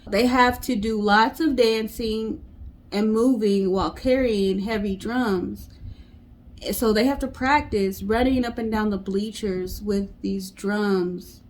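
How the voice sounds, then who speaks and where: A woman's voice: medium pitch, a little mellow. A woman co-hosting and retelling a story in a casual storytelling style. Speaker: co-host.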